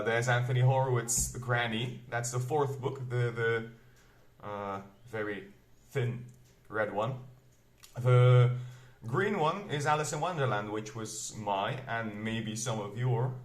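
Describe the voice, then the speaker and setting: A man in his twenties with a Flemish accent that mixes American and British English, rambling through a casual bookshelf tour at home. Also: mid-range voice. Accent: Flemish, mixing American and British English. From Belgium. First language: Flemish dialect of Dutch. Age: 20s. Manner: rambling, self-correcting, unhurried. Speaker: book vlogger. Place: room at home. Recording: echoey room.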